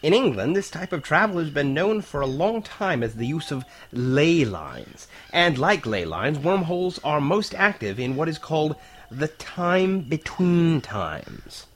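A male actor plays an eager professor of time travel in an audio drama. His fairly high voice moves animatedly as he patiently lectures, pausing for weight. There is faint noise in the recording. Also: sing-song, fairly bright tone, heavily acted. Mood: lecturing. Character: enthusiastic male professor who discovered time-travel wormholes, patient explainer.

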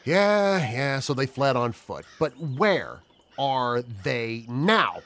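A man performs a villainous professor in an audio play. He is menacing and exasperated, drawing out his words slowly and condescendingly with a widely swinging pitch. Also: very animated, a bit bright. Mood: impatient. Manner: condescending, menacing, drawn-out questioning.

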